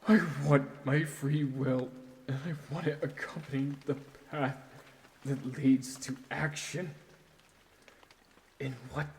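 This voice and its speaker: Man acting a slow, tormented, lonely young man. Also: medium pitch, steady tone, a little mellow. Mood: brooding anguish. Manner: halting, brooding, breaking into whispers. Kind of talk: audio drama acting. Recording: long echoing reverb.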